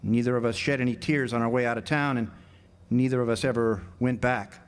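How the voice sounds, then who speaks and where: A man's voice: medium pitch, steady tone, a little fast, clean tone, close to an everyday voice. A man plays a wry drifter in a period radio play. His voice is mid-range, and he talks like a folksy storyteller looking back on a crooked little town. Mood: wistful, wry. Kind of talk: radio drama narration and dialogue. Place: live amateur radio drama performance at an amphitheater.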